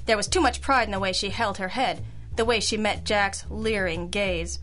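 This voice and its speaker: An actress playing a sharp-witted, sardonic female detective in an old-time radio noir, dry, teasing and cool. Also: medium pitch, moderate pace, soft background music, natural voice. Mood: shrewd, cool.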